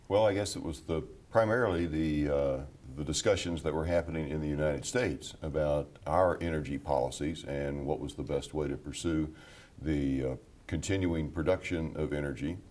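A man's voice: rumbling low, moderate pace, darkish tone. An older man on a studio panel, reflecting on what drew him to his research, with fillers. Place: television studio set. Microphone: lapel mic.

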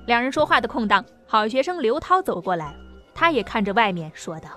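A woman narrates a scripted audio drama. Her voice is fairly high, and soft music plays faintly behind her. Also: sing-song, a little fast.

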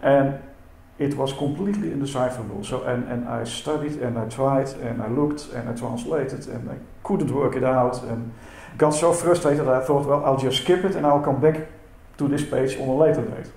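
A man's voice: mid-range voice, steady tone, a little mellow. Dutch-native man presenting in an echoey hall. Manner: halting, many repeated words and fillers. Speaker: symposium speaker. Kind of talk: conference presentation. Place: lecture hall. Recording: echoey hall with faint noise.

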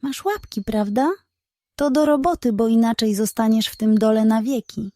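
A woman's voice: on the high side, moderate pace, warm tone, no room sound, clean studio sound, natural voice. A woman voicing indignant little squirrels who guard their nuts in a children's tale. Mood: menacing.

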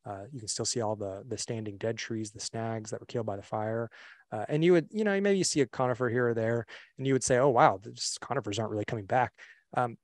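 A young man presenting a webinar on a video call, relaxed and full of fillers and restarts. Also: mid-range voice, fast, no echo. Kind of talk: casual slideshow presentation. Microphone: computer mic on a video call.

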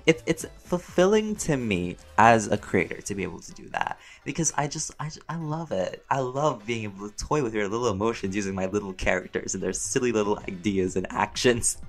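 A man's voice: fairly low pitch, expressive, a little fast, few pauses. A man rambling casually through a Q&A, chatty, playful and slangy, often interrupting himself and prone to giggling. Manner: giggly, often breaking into laughter. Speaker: channel creator and voice actor answering fan questions. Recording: music behind the voice.

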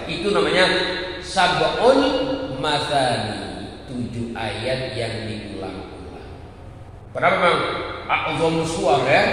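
A man's voice: a little high, sharp tone. A middle-aged man, giving an emphatic, didactic Islamic lesson with repetition and rhetorical questions. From Indonesia, Betawi region of Jakarta. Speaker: Islamic teacher. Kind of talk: mosque sermon, religious lesson. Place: large stone-walled mosque indoors. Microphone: handheld microphone through speakers, recorded by far camera. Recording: very echoey hall, distant, faint noise.

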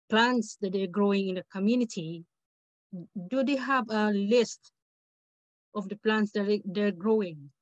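A woman's voice: medium pitch, no room sound. A middle-aged woman with a Filipino accent speaking informally as a participant on a video call. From Philippines. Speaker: community researcher, webinar participant. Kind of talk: informal question in a webinar. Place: small cluttered room indoors. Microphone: video call, laptop microphone. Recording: dull lo-fi video call audio.

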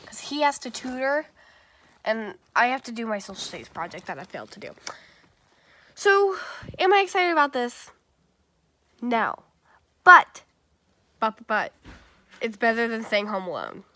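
A woman's voice: fairly high pitch, normal speed, pauses often. A young woman vlogging on a handheld phone, chatty and bubbly as she talks straight to her viewers. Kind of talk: casual vlog to a phone camera. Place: car, bedroom and a busy shopping mall. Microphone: handheld phone camera at arm's length. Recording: phone audio with steady background noise.

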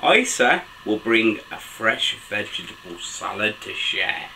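A man in his thirties reading a picture book aloud to children in an expressive, sing-song, enthusiastic way. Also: lowish voice, takes it slowly, a little hiss or hum, poor audio. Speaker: dad reading children's books for a video channel. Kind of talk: animated storybook read-aloud for children. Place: small bedroom with a bed and soft toys.

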